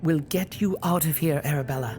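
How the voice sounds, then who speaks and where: Woman playing concerned, loyal guardian, lowish voice. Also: fairly quick, over music, close to an everyday voice. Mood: earnest. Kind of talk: audio drama acting.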